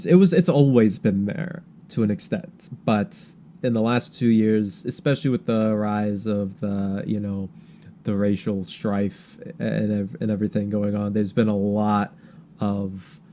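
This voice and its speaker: A man co-hosting a podcast in casual political chat, halting and repeating words as he searches for his thoughts. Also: fairly low pitch, dark tone. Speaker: podcast co-host.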